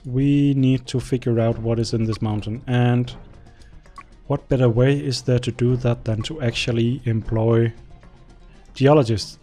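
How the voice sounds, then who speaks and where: A man's voice: mid-range voice, dark tone. A young man whose first language is Danish, casually commenting on a strategy game as he plays, thinking aloud and talking to his units. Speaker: gameplay commentator. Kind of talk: casual let's-play commentary.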